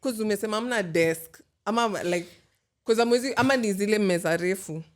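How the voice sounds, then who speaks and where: A woman in her late twenties with a Kenyan accent, a podcast guest chatting casually in a mix of English and Swahili, teasing and full of quick comebacks. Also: mid-range voice. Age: 20s.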